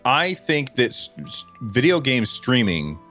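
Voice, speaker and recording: A man's voice: mid-range voice, very animated, measured pace, sharp tone, highs cut off, soft background music. A man guesting on a podcast who speaks in a hesitant way, full of fillers and restarts. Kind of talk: casual podcast chat.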